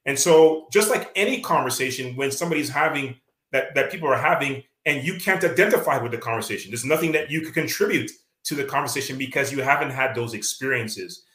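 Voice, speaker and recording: A Canadian man with a Canadian accent talks earnestly as a guest author, stopping often on fillers and restarts. His voice is bright and forceful. It sounds echoey, like an open room. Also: mid-range voice, fast talker. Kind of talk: reflective interview talk.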